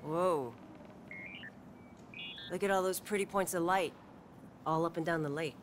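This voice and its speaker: A woman's voice: on the low side, measured pace, a little hiss or hum, lightly acted. A woman playing a wry, tough young police detective in a video game, with a dry, sardonic delivery. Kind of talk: naturalistic video game acting.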